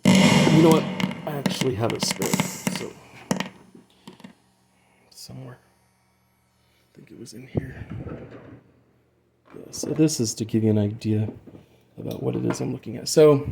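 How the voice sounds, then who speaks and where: A man's voice: mid-range voice, measured pace, creaky, pauses often, very noisy. A man giving a casual hands-on tutorial about music gear, rambling and loosely explaining as he goes, with some swearing. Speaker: amateur music gear demonstrator.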